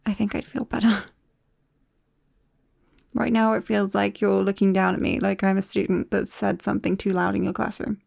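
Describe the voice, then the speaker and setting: A young British woman acting in an intimate ASMR roleplay as a nervous, affectionate friend with a secret, soft-spoken and hesitant, close to the microphone. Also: mid-range voice, level tone, moderate pace, gravelly, dry recording. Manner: hesitant, soft-spoken, breaking into whispers and small nervous laughs. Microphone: close-up microphone, dry.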